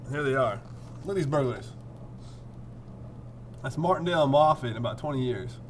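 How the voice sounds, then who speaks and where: A man's voice: medium pitch, lively intonation, fairly quick, slight background noise. A man teaching through a storybook read-aloud, playful and theatrical, repeating phrases and chatting to his pupils.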